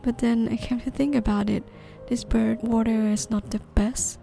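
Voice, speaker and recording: A woman from northeastern Thailand, gently narrating a gardening video in a measured, explanatory way. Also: fairly high pitch, fairly even intonation, creaky, faint music behind. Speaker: gardening vlogger. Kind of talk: calm scripted voice-over narration.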